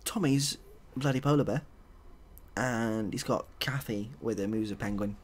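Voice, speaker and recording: A softly spoken man improvising a story in a hesitant, rambling, deadpan way. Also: lowish voice, normal speed. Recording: clearly audible background noise.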